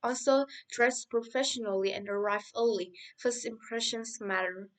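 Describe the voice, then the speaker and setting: A woman's voice: fairly high pitch, normal speed, distant mic, lightly acted. A woman playing a practical young finance worker. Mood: calm. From Thailand. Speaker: guest. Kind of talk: scripted interview for English learners.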